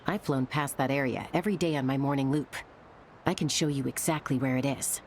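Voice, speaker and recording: A woman's voice: rumbling low, fairly quick, background noise. A woman voicing a level-headed, observant young female adventurer in an anime-style video game, composed and direct. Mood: assured.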